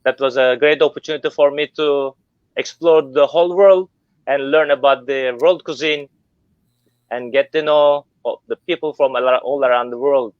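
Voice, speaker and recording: Middle-aged Turkish man, non-native English, halting, smooth resonant tone, dull lo-fi audio. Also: medium pitch, fairly even intonation, no echo. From Turkey. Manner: hesitant, many uh fillers and restarts. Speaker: guest business owner. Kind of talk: online interview. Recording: dull lo-fi sound.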